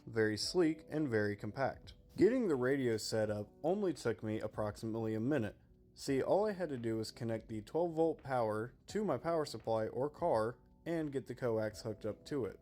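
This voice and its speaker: Male review narrator, mid-pitched, clear explanatory presenter delivery, music underneath. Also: a little mellow. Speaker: product reviewer. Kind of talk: scripted product review voice-over. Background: background music.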